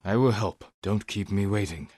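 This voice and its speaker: A man acting a stern, husky low-voiced samurai. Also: a little mellow, dry recording, studio quality, natural voice. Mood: stern, resolute. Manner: measured, terse, commanding. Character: stern honorable adult male samurai warrior, dutiful and weary. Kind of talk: video game character voice lines.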